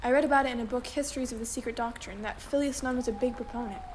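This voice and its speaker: A woman's voice: a little high, very fast, slight background noise, close to an everyday voice. A woman playing a bookish teenage girl keen on the occult in a radio drama. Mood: earnest, mysterious. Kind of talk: scripted radio drama acting.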